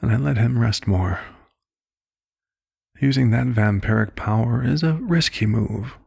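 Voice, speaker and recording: A man playing a calm, caring vampire doctor in an ASMR roleplay, speaking in a soft, measured, reflective way. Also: mid-range voice, vocal fry, husky, no room sound, heavily acted. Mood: somber, reflective. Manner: measured, hushed, reflective storytelling. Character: calm, caring male vampire doctor recounting a past case.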